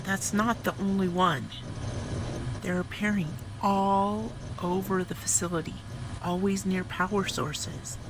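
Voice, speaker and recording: A woman's voice: mid-range voice, fairly even intonation, measured pace, noisy background, lightly acted. An actress playing a tense, skeptical woman scientist in an audio drama. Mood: hushed dread. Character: tense, sharp female scientist, urgent and skeptical. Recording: loud background ambience under voice.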